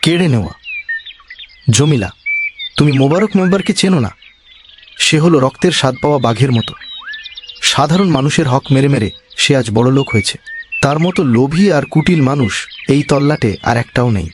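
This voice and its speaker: A man's voice: on the high side, lively intonation, fairly quick, pauses often, lightly acted. Man acting a humble, cautious village husband, noisy ambience. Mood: worried warning. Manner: earnest, moralising, cautioning. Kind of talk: cartoon voice acting.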